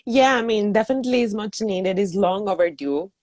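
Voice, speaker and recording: A woman's voice: medium pitch, brisk, a little mellow, no room sound. A woman from northeast Mexico, a native Spanish speaker, talking casually as an interview guest. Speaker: interview guest, wine community founder.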